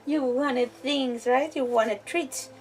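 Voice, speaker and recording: A woman's voice: high voice, takes it slowly, far from the mic, a little hiss or hum. A woman chatting casually and playfully as she livestreams a game. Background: faint game music.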